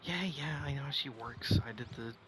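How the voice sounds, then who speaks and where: A man's voice: medium pitch, normal speed, background noise. A man streaming a video game, giving casual commentary and rambling as he thinks aloud.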